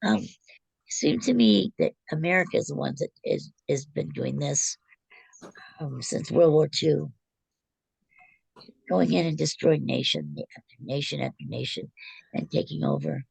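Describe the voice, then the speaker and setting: Hoarse gravelly woman in discussion. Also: sits low, unhurried, long pauses, darkish tone, no echo, poor audio. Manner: earnest, searching for words with many ums. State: hoarse, losing her voice. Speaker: study group participant. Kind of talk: casual group discussion.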